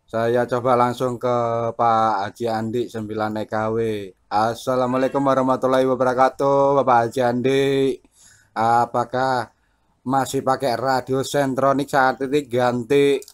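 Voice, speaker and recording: A man with speech, a radio hobbyist chatting informally and a little haltingly as he hosts a vlog. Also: mid-range voice, flat delivery, clear, resonant tone. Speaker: amateur CB radio operator and vlogger. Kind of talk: casual radio chat.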